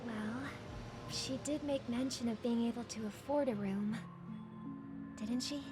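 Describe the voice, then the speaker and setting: A woman acts out a gracious, compassionate idealist from a video game. Her voice is fairly high, soft-spoken and composed. Music is clearly heard behind her polite lines. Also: a little slow, natural voice. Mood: gentle, earnest. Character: gentle, gracious, compassionate female celebrity, idealistic. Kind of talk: English video game voice acting. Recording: game dialogue over loud music.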